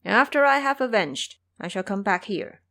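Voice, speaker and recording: A proud, hot-tempered monkey warrior, acted out by a woman for an audio drama. The delivery is boastful and declamatory, with pitch that swings widely from line to line. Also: lively intonation, normal speed, creaky, a bit bright. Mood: indignant, boastful. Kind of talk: audio drama character acting.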